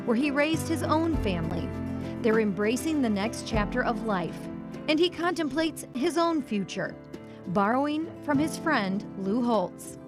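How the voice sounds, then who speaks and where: Woman, American accent, TV reporter, higher voice, scripted feature narration, music bed. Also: lively intonation, unhurried. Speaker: TV sports reporter.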